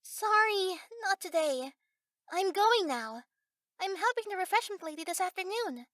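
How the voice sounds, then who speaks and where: A woman's voice: very high-pitched, animated, a little slow, fairly bright tone, no echo, clean studio sound, exaggerated voice. A woman playing a bossy, cheeky little girl in a children's storybook reading. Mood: matter-of-fact. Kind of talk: children's storybook character acting.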